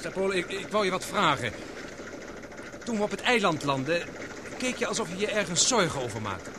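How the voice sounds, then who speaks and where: A guarded male adventurer in a radio play, blunt but loyal. The voice is high and swings widely in pitch, with clear rumbling noise behind it. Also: big pitch swings, fairly quick. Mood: probing, uneasy. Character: John, terse, guarded adventurer, gruffly loyal companion. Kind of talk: radio drama acting.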